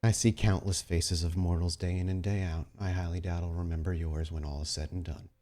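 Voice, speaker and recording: Man playing a smug, low-voiced vampire crime boss. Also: little pitch movement, dark tone, lightly acted. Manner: measured, taunting, formal.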